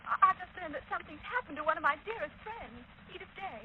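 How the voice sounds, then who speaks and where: High-voiced, anxious society woman. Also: lively intonation, fast talker. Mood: distressed. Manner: earnest, agitated. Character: anxious society woman, loyal crime-solving assistant. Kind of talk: 1930s radio acting. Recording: muffled, hissy 1930s radio recording.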